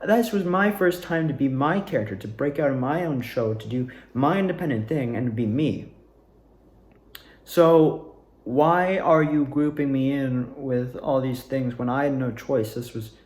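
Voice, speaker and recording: A man's voice: mid-range voice, darkish tone. Young man venting in an echoey room. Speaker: student actor. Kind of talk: casual rambling personal recap. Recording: roomy, echoing room sound.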